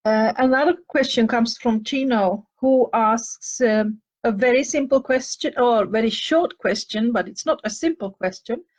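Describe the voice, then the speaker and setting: A woman's voice: on the high side, unhurried, rough recording. An older woman from with a slight cough, hosting a show and answering listener questions in a thoughtful, hesitant way with many fillers. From Finland. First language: Finnish and Swedish. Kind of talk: advice talk answering questions.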